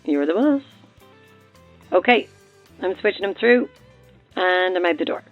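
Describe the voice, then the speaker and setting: Brisk radio producer over thin talkback. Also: female voice, fairly low pitch, expressive, phone-quality sound. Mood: businesslike. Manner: brisk, familiar, instructing. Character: no-nonsense, exasperated but supportive female radio producer. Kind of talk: audio drama acting. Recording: thin talkback sound, faint music behind.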